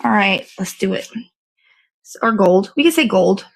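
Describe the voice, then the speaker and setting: A woman's voice: medium pitch, expressive, moderate pace, creaky, poor audio. A woman hosting a casual hands-on cake decorating demo, talking to viewers and half to herself in short fragments as she works. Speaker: show host.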